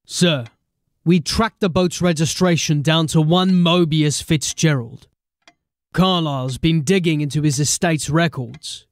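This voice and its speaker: An actor playing an earnest, dogged watch sergeant in a fantasy audio drama, deferential but insistent. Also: male voice, a little high, no room sound.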